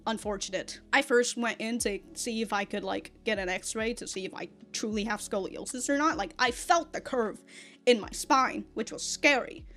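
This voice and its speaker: A young woman on a casual, rambling rant, stammering and repeating words as she goes, with animated, sweary delivery. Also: fairly high pitch. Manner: often laughs while talking. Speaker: online content creator.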